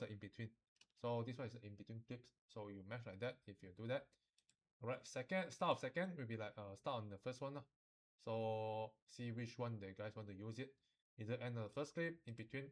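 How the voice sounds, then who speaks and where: A man teaching software informally, code-switching and often checking that students follow. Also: medium pitch. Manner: instructional, often checks understanding, uses particles like lah. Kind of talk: informal software tutorial mixing English and Mandarin.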